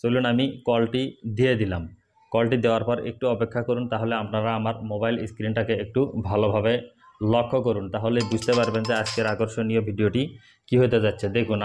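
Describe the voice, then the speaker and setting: A man's voice: on the low side, darkish tone. A man hosting a tech tips channel, giving step-by-step phone instructions straight to viewers. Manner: addresses viewers directly, instructional. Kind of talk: step-by-step phone tutorial narration.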